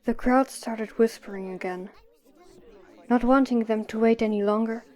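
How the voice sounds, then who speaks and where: A woman's voice: fairly high pitch, steady tone, normal speed, darkish tone, some chatter behind. A woman reading scripted narration for an audio drama.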